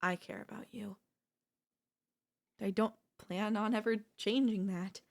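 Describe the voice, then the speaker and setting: A woman playing an obsessive, possessive sister-in-law in an intimate ASMR audio roleplay, coolly confessing her love with deliberate pauses. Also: medium pitch, steady tone, creaky. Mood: tender, possessive. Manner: slow, deliberate, with long pauses.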